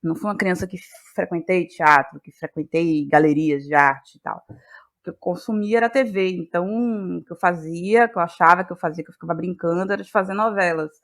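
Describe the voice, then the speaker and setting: A woman with a Brazilian accent from Bahia, answering as an interview guest in a reflective, halting way and often repeating phrases. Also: fairly low pitch, fairly bright tone. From Salvador, Bahia, Brazil. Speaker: guest, playwright and actress.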